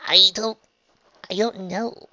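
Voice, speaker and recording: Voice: medium pitch, lively intonation, gravelly, bright, forceful tone, no echo, highs cut off, pitched above the performer's own voice. A narrator voicing an emotional young female unicorn in a storybook, stammering, apologetic and unsure of herself. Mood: hesitant, yearning. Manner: stammering, halting.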